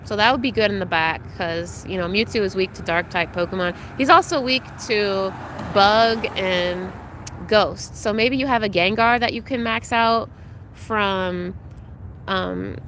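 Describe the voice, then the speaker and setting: A woman's voice: medium pitch, a little slow, fairly bright tone, a little hiss or hum. A young adult woman streaming live, chatting casually and greeting viewers by name, her voice slightly congested, with frequent ums. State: congested, recovering from illness.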